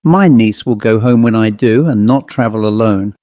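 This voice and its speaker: A man's voice: on the low side, moderate pace, warm tone, no room sound, highs cut off, close to an everyday voice. A man voicing an earnest, anxious country woman, an aunt in a storybook, hesitant yet insistent. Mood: indignant.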